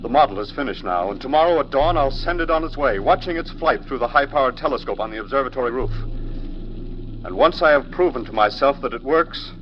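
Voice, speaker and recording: A man's voice: animated, fairly quick, lo-fi sound, close to an everyday voice. A man playing an anguished alien scientist father in melodramatic, urgent 1940s radio style. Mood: bitter, determined. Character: anguished alien scientist father facing his planet's doom. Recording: old radio recording, very loud rumbling noise.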